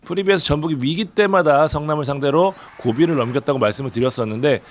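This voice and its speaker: A man hosting a football review show, hoarse from a cold, running through match results. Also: a little high. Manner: brisk, rapid delivery. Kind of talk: sports news review.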